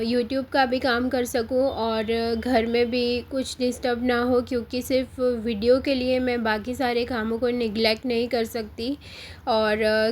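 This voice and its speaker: A hoarse Indian woman in her thirties with a sore throat, narrating her vlog in a soft, unhurried voice-over with frequent pauses. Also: on the high side. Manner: soft, unhurried, pauses often with "uh", mixes English into Hindi. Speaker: vlogger. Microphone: close voice-over mic.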